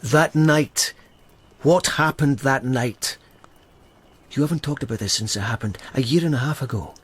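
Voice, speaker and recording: A man playing a steady friend in a radio drama, calm and probing, pressing with short questions. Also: medium pitch, moderate pace, slightly rough, close to an everyday voice. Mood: insistent.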